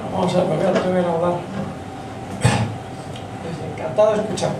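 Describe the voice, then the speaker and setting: A man's voice: high-pitched, steady tone, quick pace. A man in his fifties with a Spanish accent speaking up from the audience, blunt and emphatic, swearing now and then. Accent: Spain. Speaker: audience member, doctor. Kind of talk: impassioned audience comment. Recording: echoey pub from far off, noisy.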